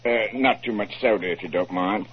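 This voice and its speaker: An actor in a 1940s radio drama playing a genial, deferential London police inspector. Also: male voice, on the low side, moderate pace, slight background noise, close to an everyday voice. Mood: genial, chatty. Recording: muffled lo-fi 1940s radio recording.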